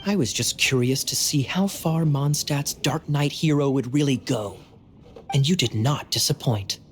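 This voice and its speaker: A man playing a suave young knight in a video game, talking in a wry, teasing, smooth way. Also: medium pitch, unhurried, soft background music, close to an everyday voice. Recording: faint background ambience noise.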